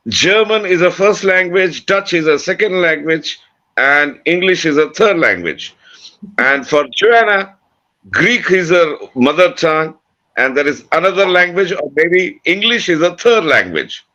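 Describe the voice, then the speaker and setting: An Indian man, native in Bengali, speaks unscripted on a talk panel. His voice is high and sharp, with emphatic repeats and self-answered questions. The sound is dull and lo-fi, like a video call. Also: animated, measured pace. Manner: emphatic, repeats phrases, poses questions then answers them.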